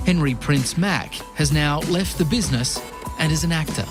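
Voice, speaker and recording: Male news narrator over music. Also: a little high. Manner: measured, formal delivery.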